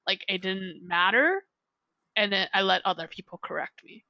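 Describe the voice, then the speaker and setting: A woman's voice: mid-range voice, moderate pace, no echo, lo-fi sound. A young woman chatting casually on a podcast as a guest, rambling and filling her talk with "like". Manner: rambling, heavy use of "like". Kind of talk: casual chat.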